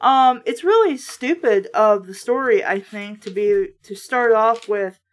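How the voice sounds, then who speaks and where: A woman with a fairly high voice reads and reviews in a casual way. She is blunt and sardonic, and swears freely as she comments. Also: animated, measured pace. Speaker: book reviewer and host. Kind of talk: casual read-aloud with running critical commentary.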